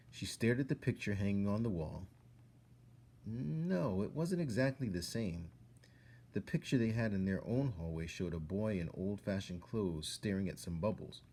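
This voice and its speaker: Deep, gravelly man reading aloud. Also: warm tone. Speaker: storyteller reading a children's book aloud. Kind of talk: narrated story read-aloud.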